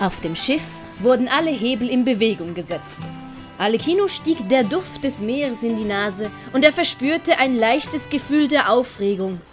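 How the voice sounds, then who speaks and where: Swiss German woman for children's story narration, fairly high voice over prominent music and effects. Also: animated, normal speed. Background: dramatic music and sound effects.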